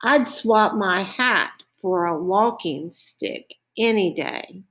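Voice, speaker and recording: Lady doing a tired wistful scarecrow for storytime, slow, normal-ish pitch. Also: a bit bright. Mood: weary wishing. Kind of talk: storybook character voice.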